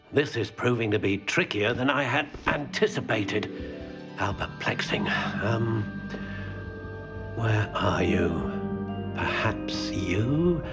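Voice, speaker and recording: An actor playing an eccentric, kindly older wandmaker who muses theatrically to himself in a video game. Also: male voice, fairly low pitch, muffled recording. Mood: musing, perplexed. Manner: musing, murmuring to himself, theatrical. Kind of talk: video game cutscene acting.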